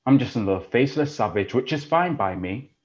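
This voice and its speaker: A man plays a foul-mouthed working-class youth, sneering and quick to threaten. He talks at an ordinary pitch, and the recording is a touch muffled. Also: animated, close to an everyday voice. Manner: swearing, menacing, mocking. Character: rough working-class British boy, aggressive, crude, defensive. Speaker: actor in a stage play. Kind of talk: audio drama acting.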